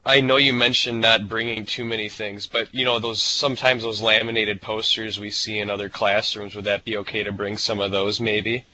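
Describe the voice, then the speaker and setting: A man's voice: on the low side, little pitch movement, moderate pace, few pauses, sharp tone. A man casually asking questions as an invitee on a conference call, phrasing them tentatively. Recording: muffled, noisy conference call line.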